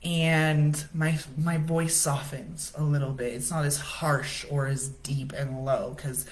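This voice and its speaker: Woman, low deep voice, casual chatty spiritual coach hosting a live talk. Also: flat delivery, takes it slowly. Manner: casual, chatty, says "right?" often. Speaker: livestream host.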